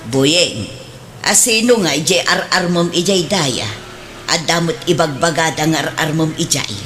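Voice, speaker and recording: A woman's voice: low-pitched, normal speed, a bit bright, faint music behind. A woman playing an inquisitive middle-aged mother who fusses over her son in a comedic radio drama, questioning in a conversational way. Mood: curious, probing.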